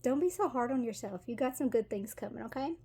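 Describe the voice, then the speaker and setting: Chatty southern lady from tennessee doing a tarot reading, kinda high voice, rambly, giggles a lot. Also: expressive, quick pace, warm tone, slightly rough. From Tennessee, USA. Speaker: tarot reader. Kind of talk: casual tarot reading to camera.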